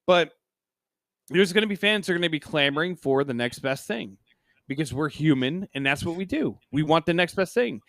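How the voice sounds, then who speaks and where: Higher-voiced man, emphatic sports talk, dry sound. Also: animated, moderate pace, gravelly, fairly bright tone, no echo. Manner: emphatic, repeats himself for stress. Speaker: hockey podcast co-host. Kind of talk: casual opinionated sports talk.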